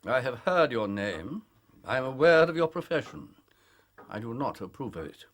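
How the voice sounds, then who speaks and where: An actor playing a stern, disapproving Victorian medical professor in a period drama, with an English accent and pompous, clipped speech. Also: male voice, mid-range voice, very animated, moderate pace, fairly bright tone. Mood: haughty, scornful. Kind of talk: period radio drama dialogue. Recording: faint background noise.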